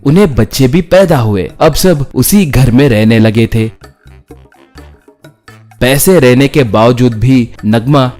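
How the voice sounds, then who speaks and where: A male narrator reads a scripted moral tale. He goes at a slow pace in a mid-pitched voice, over faint background music. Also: a little mellow. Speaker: story narrator.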